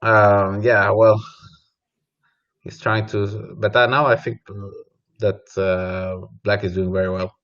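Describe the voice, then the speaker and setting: A man's voice: fairly low pitch, little pitch movement, takes it slowly, a bit bright. A man from Sweden, originally Colombia, giving conversational chess analysis as a guest commentator, hesitantly thinking aloud. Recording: slightly dull, lo-fi audio.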